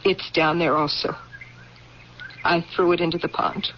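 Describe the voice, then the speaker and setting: A woman's voice: fairly low pitch, fairly even intonation, measured pace, lightly acted. Grieving, resolute military widow, played by a woman. Formal, impassioned radio drama delivery, muffled vintage sound with light hiss. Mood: confessional, anguished. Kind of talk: old-time radio drama acting. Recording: muffled, hissy old radio recording.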